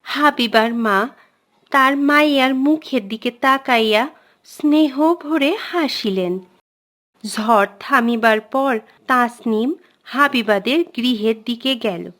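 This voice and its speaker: A woman's voice: on the high side, a bit bright. A woman narrating a scripted children's cartoon tale in an old-fashioned literary storytelling manner.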